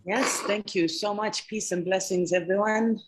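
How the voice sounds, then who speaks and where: A woman from Sudan introducing herself as a panelist from an activist collective, expressive and laughing between phrases. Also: medium pitch, a little slow, a little hiss or hum. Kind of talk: warm self-introduction. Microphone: video call.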